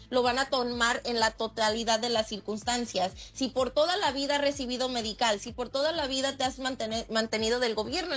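A woman's voice: fairly high pitch, fairly bright tone. A woman from Mexico with a Mexican accent, speaking as a lawyer explaining things plainly in an interview. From Michoacán, Mexico. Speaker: lawyer, expert guest.

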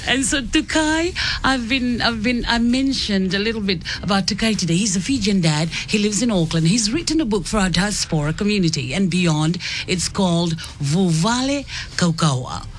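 A radio presenter hosting an interview in an upbeat, lively manner. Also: medium pitch, moderate pace, a little hiss or hum.

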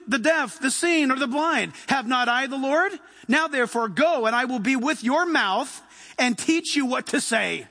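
A middle-aged male preacher with a very high-pitched, forceful voice. He delivers a sermon conversationally but emphatically, dropping into asides and rising to exhort. His words ring with long reverb in a large hall.

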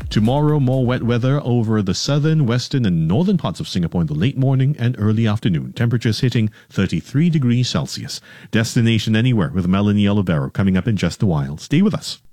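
A man's voice: fairly low pitch, animated, dark tone, no room sound, studio quality. Man announcing weather over music. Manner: brisk announcer delivery. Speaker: radio announcer.

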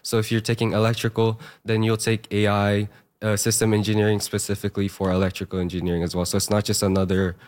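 A hoarse young man, a fresh high school graduate, talking earnestly with many fillers as a radio interview guest. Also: level tone, quick pace, darkish tone. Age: young adult.

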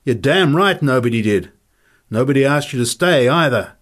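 A man's voice: lowish voice, fairly even intonation, unhurried, breathy edge. A man voicing a belligerent, punch-drunk little former boxing champion in a story reading, aggressive and taunting as he swears. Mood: angry, accusing.

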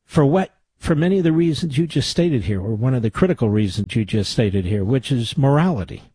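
A man's voice: medium pitch, expressive, brisk, mellow, slightly rough, no room sound. A man hosting a call-in radio show, talking emphatically and repeating phrases for stress. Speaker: radio talk show host.

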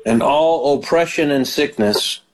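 A man's voice: fairly high pitch, measured pace, low-quality recording. A man hosting a call-in prayer show as a pastor, praying fervently over callers. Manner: fervent praying, frequent hallelujahs. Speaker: radio ministry host and pastor.

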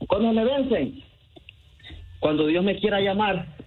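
A man's voice: high voice, expressive, unhurried, narrow, tinny audio. Wisecracking man from Venezuela on the phone. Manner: dry, unbothered, wisecracking back. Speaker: prank call victim on the phone. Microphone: phone line.

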